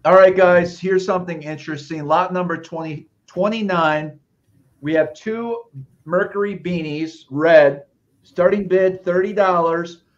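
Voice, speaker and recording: Fairly high man's voice, crude plainspoken seller, dull lo-fi webcam sound. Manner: blunt and profane. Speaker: co-host. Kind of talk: live auction presenting.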